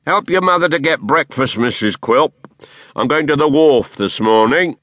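A man voicing a malicious, mocking male dwarf who snarls slow, drawn-out taunts. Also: on the high side, expressive, fairly bright tone, no echo, voice pushed up. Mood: mocking menace.

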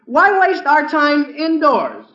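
A man's voice: very high-pitched, huge pitch swings, takes it slowly, a crowd in the background, heavily acted. Earnest teenage boy, voice swooping wildly. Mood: nervous, earnest. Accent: American. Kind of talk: 1940s radio comedy acting. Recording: dull, echoey vintage radio recording.

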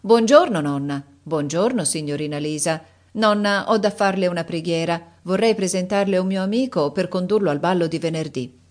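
A woman voicing a breezy young male officer in a read-aloud story, brisk and offhand. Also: lowish voice, a little mellow, lightly acted. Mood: lively. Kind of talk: audiobook character voice.

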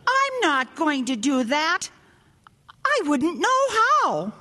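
High-pitched, haughty old widow. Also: female voice, wildly swooping pitch, slow pace, pushed and bright, exaggerated voice. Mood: outraged, demanding. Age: older. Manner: imperious, demanding, easily affronted. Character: haughty, indignant old widowed mother-in-law and grandmother. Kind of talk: radio drama acting.

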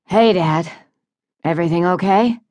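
A woman's voice: on the low side, a little slow, a little mellow, no room sound, close to an everyday voice. A woman voicing a wealthy, impatient grown son with a curt manner in an audiobook. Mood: weary. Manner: curt, exasperated.

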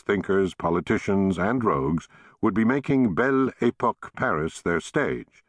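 A man narrating a history audiobook in a measured, expressive storytelling manner, recorded in a studio. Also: sits low, dry recording.

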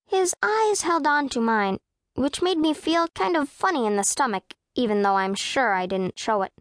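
High-voiced, self-possessed young girl. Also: animated, moderate pace, no room sound, studio quality. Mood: composed, wry. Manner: precise, composed, matter-of-fact. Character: self-possessed, proud, scowling eleven-year-old girl narrator. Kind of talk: first-person audiobook narration of children's fiction.

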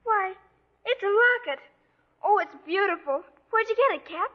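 A woman's voice: very high voice, animated, moderate pace. A young female performer playing a spunky, devoted eleven-year-old orphan girl in a radio drama, pert and affectionate. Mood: delighted. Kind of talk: 1940s radio acting. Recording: dull vintage radio recording.

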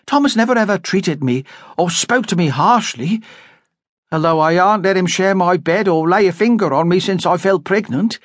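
A man's voice: high voice, normal speed, dry recording, clean studio sound, voice pushed up, lightly acted. A man voicing a grieving young wife, earnest and urgent.

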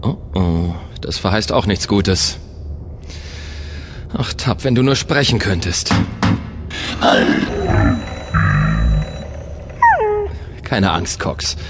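A man playing a thoughtful, kindly space captain in a scripted sci-fi audio drama, measured and reflective. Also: deep voice, animated, heavy background noise. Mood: concerned. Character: male space traveller, thoughtful, kindly captain of a small ship. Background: music.

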